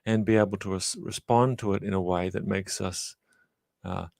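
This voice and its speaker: Measured, low-voiced Australian-raised man. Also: steady tone, gravelly, darkish tone, dry recording. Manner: measured, frequent uh fillers. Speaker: guest, professor. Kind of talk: reflective interview answers.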